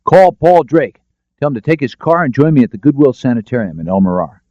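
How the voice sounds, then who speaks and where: A man's voice: medium pitch, sing-song, fairly quick, mellow, no room sound, muffled recording, voice pushed up, close to an everyday voice. A man voicing a composed, probing lawyer who speaks directly, in a detective audiobook. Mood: brisk. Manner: direct, questioning. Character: shrewd, composed, probing male defence lawyer.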